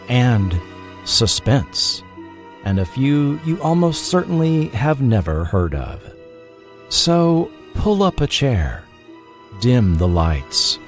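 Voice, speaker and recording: An American man hosting a podcast with scripted documentary narration, deliberate and dramatic at the ends of phrases. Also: mid-range voice, darkish tone. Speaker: podcast host.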